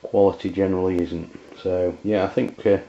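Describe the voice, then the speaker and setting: English guy doing a casual gear review, low monotone, halting and matter of fact, muffled lo-fi audio. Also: slight background noise. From England. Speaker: amateur radio reviewer. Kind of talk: casual hands-on product review. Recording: dull, lo-fi camera sound.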